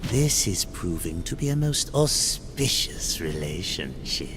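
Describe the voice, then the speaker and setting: An actor playing a sly, courtly demon merchant in a video game, speaking in formal, flattering, ornate phrases. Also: male voice, deep voice, music in the background. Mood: oily flattery. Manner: unctuous, formal, deliberate, ornate speech. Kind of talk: video game cutscene acting.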